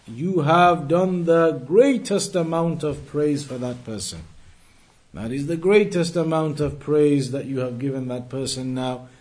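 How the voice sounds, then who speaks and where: A man teaching an Islamic lesson, reading out text and explaining it deliberately, with emphatic repetition and rephrasing. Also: a little high, darkish tone. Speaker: religious teacher.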